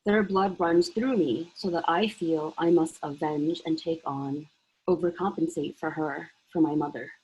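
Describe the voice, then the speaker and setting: A woman's voice: deep voice, fairly even intonation, a little slow, darkish tone, breathy edge, muffled recording. A woman reading her own prose poem on a video call, intense and emphatic. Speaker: workshop participant.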